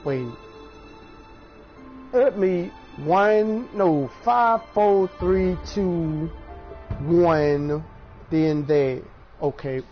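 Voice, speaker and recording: Male gamer, casual self-talk and counting, very slow, dull audio with music. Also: high voice. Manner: muttering to himself, counting aloud. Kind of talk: casual gameplay commentary. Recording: dull game-stream audio with music behind. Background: clear game music.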